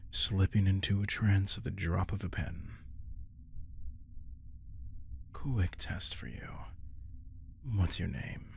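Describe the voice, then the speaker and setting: A man playing a teasing, possessive and protective vampire king in an intimate audio roleplay, speaking to the listener in a husky, condescending, amused way. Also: low-pitched, a little mellow, a little hiss or hum, exaggerated voice. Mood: smug, coaxing. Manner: condescending, amused, slow coaxing asides.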